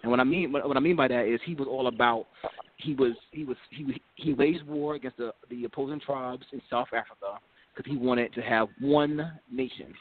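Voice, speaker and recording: A man at a middle pitch who talks haltingly, backing up and repeating words as he gathers his thoughts. His voice comes through thin and boxy, like a phone call. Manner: halting, many restarts and repeats. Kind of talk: casual group discussion. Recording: tinny phone-like line.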